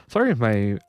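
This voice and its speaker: A man's voice: lowish voice, darkish tone, no room sound. A man with a nasal voice and a sore throat hosting a gameplay video, rambling with many filler sounds. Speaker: gaming channel host. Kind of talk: let's-play commentary.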